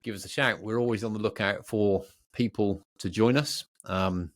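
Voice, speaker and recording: A man co-hosting a podcast, chatting casually in a jokey, teasing, animated way. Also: lowish voice, moderate pace, no room sound, clean studio sound. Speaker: podcast co-host. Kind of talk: casual banter between co-hosts.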